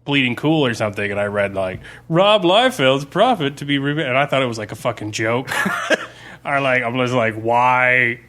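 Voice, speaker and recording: Bright-voiced man in rambling podcast chat. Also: medium pitch, animated, normal speed. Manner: rambling, filler-heavy, emphatic slangy enthusiasm. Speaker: podcast co-host. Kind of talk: casual podcast chat about zombie fiction and comics.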